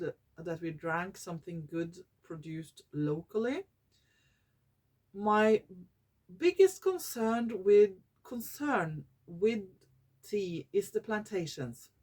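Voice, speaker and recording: A Norwegian woman vlogging in English, her second language, often pausing to search for words and correcting herself. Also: medium pitch, expressive, a little mellow. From Norway. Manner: slow and searching for English words, many fillers and self-corrections. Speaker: YouTuber. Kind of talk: casual talking-head vlog.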